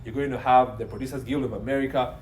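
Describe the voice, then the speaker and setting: A man's voice: mid-range voice, fairly even intonation, fast, darkish tone. A man explaining as an interview guest, emphatic, repeating phrases and posing rhetorical questions. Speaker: legal consultant, interview guest. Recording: echoey room with faint background noise.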